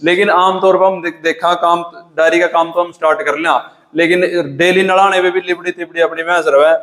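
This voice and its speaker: A man's voice: high-pitched, steady tone, brisk, fairly bright tone, big-room sound, low-quality recording. A man with a Haryanvi accent, interviewing casually for a farming video and speaking in a colloquial, conversational way. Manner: colloquial, asks questions and summarises answers. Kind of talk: casual on-site farm interview.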